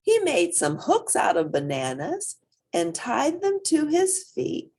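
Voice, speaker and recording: Very animated, husky woman reading a storybook. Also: a little high, takes it slowly. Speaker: storybook reader. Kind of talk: expressive children's story read-aloud.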